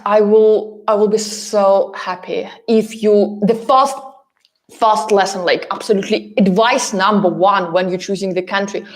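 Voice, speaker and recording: A woman's voice: mid-range voice, darkish tone. Emphatic Russian-accented woman coaching. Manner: emphatic, repetitive, addresses listeners directly. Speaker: relocation coach and course host. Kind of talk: motivational coaching talk to camera.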